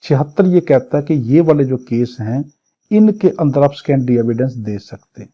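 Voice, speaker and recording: Dark, husky-voiced Pakistani man lecturing. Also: mid-range voice, expressive, moderate pace. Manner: didactic, repeats and rephrases point by point. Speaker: law lecturer. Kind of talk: exam-prep lecture, reading English statute phrases and explaining each. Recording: dull, muffled lo-fi audio.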